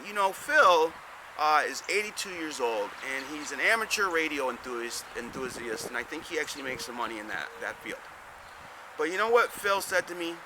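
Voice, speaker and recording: A man's voice: mid-range voice, pushed and bright, poor audio. A man hosting a business advice show, talking in a rambling, folksy way straight to his listeners and often repeating words. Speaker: host giving business advice. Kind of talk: casual monologue to camera. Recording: outdoor traffic noise behind.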